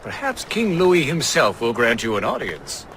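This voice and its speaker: An actor playing a genial, courteous, chatty scientist gentleman in a video game cutscene. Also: medium pitch, huge pitch swings, normal speed, a little hiss or hum.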